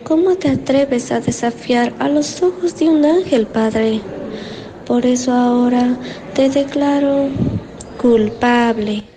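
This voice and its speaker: A woman's voice: a little high, slow pace, a crowd in the background. A young woman playing a vengeful angel girl, solemn and mocking, in a student horror radio story. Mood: solemn vengeance. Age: teenager. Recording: noisy home recording.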